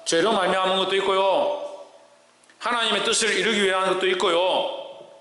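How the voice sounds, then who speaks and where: A man's voice: sits high, fairly even intonation, normal speed, bright, forceful tone. A man with a South Korean regional dialect preaching verse by verse as a pastor, pressing his listeners with insistent rhetorical questions. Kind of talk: verse-by-verse sermon with scripture reading. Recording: echoey hall with long reverb.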